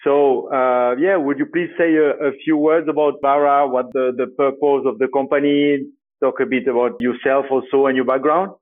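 A man's voice: mid-range voice, fairly even intonation, normal speed. A man hosting a founders podcast, asking questions hesitantly with many fillers and restarts. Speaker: podcast host, venture capitalist. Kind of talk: interview hosting. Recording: tinny phone-like line.

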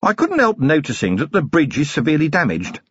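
A man voicing a gruff middle-aged police commander who grumbles dryly. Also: on the high side, wildly swooping pitch, brisk, no room sound, muffled recording, pitched above the performer's own voice, full character voice. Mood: wry. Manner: dry, grumbling and wry.

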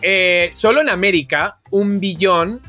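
A man with a Spanish accent from Spain and a highish voice. He talks as a podcast co-host in casual, comic chat. His storytelling is animated and pokes fun at himself. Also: a little slow, faint music behind. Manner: animated, self-mocking storytelling.

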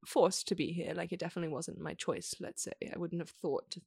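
A woman's voice: lowish voice, fairly even intonation, quick pace, vocal fry, a little mellow, breathy edge, dry recording, studio quality. A young woman from Australia, speaking as a podcast guest in a reflective, thoughtful way and using frequent "like" fillers. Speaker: interview guest. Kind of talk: casual conversation.

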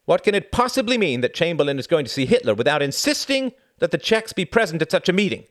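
Guy voicing a fired-up president, raised voice, up high and all over the place. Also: big pitch swings, moderate pace, no room sound, clean studio sound, heavily acted. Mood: indignant. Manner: loud, indignant, raised voice. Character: heated, desperate middle-aged male president.

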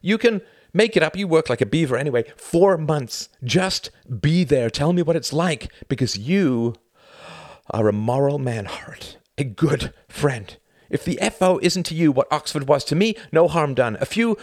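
Man doing a young male scholar, agitated, high-pitched, loud, swearing, dry close sound. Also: animated, normal speed, no echo. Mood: pleading. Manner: shouting, passionate, profane.

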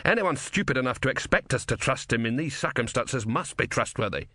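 A man's voice: mid-range voice, lively intonation, a little fast, pushed and bright, dry recording, studio quality. A man voicing a mild old male philosopher in an audiobook story, wry, rambling and unflappable.

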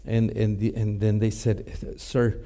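A middle-aged man from Mexico with a Mexican Spanish accent, giving a chapel talk and pausing often with fillers and restarts. Spanish is his first language. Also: on the low side, little pitch movement, warm tone. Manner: halting, many fillers and restarts. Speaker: chapel speaker.

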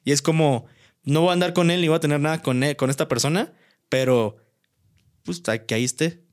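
A man's voice: on the high side, brisk. A young adult man with a Mexican accent, co-hosting a podcast in casual, colloquial talk with plenty of slang. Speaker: podcast co-host. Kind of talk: casual chat.

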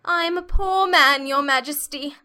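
A woman's voice: high-pitched, sing-song, measured pace, sharp tone, voice pushed up, full character voice. A woman voicing a nervous, pitiful hatter with a trembling, strained, apologetic delivery in a storybook reading. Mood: trembling, pleading. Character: nervous, pitiful Hatter pleading before a royal court.

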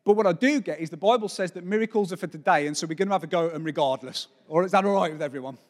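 A man's voice: sits high, very fast. A man preaching as pastor to his church congregation, earnest and jokey as he introduces a guest. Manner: earnest, lively, jokes with the congregation. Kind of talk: church sermon, introducing a speaker. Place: large church hall. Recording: church hall with long reverb.

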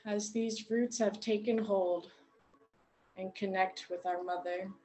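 A woman guiding a meditation in a gentle, unhurried way, leaving long pauses between short phrases. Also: medium pitch, steady tone, poor audio. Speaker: meditation guide. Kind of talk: guided meditation narration.

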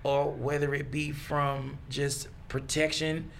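This is a man in his thirties with a gravelly, raspy texture to his voice that sits a touch above the usual male range. He talks as a songwriter guest in a relaxed interview, thinking aloud and circling back on his words as he goes. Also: a little high, slight background noise. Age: 30s. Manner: reflective, halting, with many restarts. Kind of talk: casual interview.

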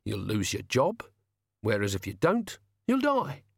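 A man's voice: fairly low pitch, huge pitch swings, a little slow, vocal fry, breathy edge, no room sound, studio quality, full character voice. A man voicing a polite, menacing young upper-class killer in an audiobook. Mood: reasonable menace. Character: genial, menacing young male toff assassin.

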